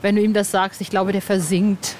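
Informal, expressive middle-aged woman podcaster. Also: mid-range voice, moderate pace, a little hiss or hum. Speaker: podcast host. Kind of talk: casual chat with promo reads.

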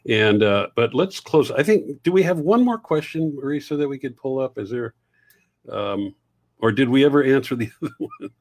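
A man's voice: medium pitch, lively intonation, moderate pace, darkish tone, dry recording, studio quality. A man hosting an interview, responding with affirming, encouraging remarks. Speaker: host.

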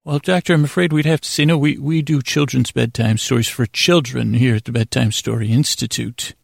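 A man's voice: medium pitch, steady tone, a little fast, gravelly, dry recording, studio quality. A man voicing a prim, apologetic institute representative who stammers as he politely turns people down. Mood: flustered, politely refusing. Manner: hesitant, stammering, politely dismissive. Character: prim, apologetic bedtime story institute representative turning down requests.

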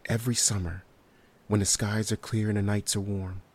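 A man's voice: fairly low pitch, flat delivery, normal speed, a little mellow. An actor playing a haunted survivor in a horror audio drama, recounting his ordeal in a hesitant, solemn and descriptive way. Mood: wistful, troubled. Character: haunted male survivor recounting a harrowing night.

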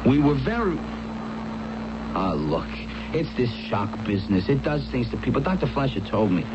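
A man's voice: mid-range voice, big pitch swings, normal speed, close to an everyday voice. An actor playing an anxious, pleading husband in a radio drama, insistent and stammering as he reassures. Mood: coaxing, reassuring. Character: anxious, pleading husband at his wife's bedside. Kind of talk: 1970s radio drama acting. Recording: dull, noisy old radio broadcast.

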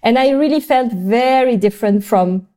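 A woman's voice: on the high side, normal speed, warm tone. A young adult woman from France, a podcast guest, telling her story in a hesitant, thoughtful way with many fillers and restarts. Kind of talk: conversational interview.

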